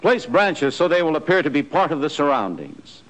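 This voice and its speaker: An American man narrating a 1940s military training film in a scripted, instructive style, with clear imperative delivery. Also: fairly high pitch, big pitch swings, moderate pace. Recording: noisy 1940s film soundtrack.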